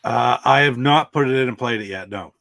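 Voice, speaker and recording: A man's voice: mid-range voice, a little fast, creaky, fairly bright tone. A man talking casually as a member of a podcast panel. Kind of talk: casual chat.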